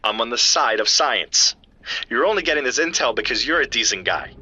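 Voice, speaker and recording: A man's voice: mid-range voice, expressive, a little fast, pushed and bright, husky, no echo, lo-fi sound, exaggerated voice. A man playing an earnest, geeky scientist in an audio drama, explaining evidence to a friend in precise technical detail. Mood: matter-of-fact. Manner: precise, technical, lecturing yet familiar. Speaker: scientist analysing footprint casts.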